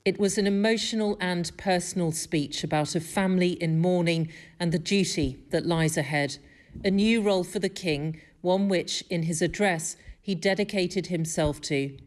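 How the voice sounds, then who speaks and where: A woman's voice: lowish voice, moderate pace. A woman delivering a scripted news report as a correspondent.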